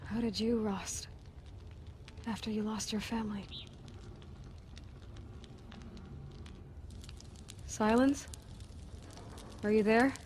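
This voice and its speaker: An actress playing a young, determined female hunter in a video game, quiet and brooding in reflection, commanding in battle. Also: medium pitch, steady tone, a little slow, lightly acted. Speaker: game protagonist. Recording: game mix with clear background noise.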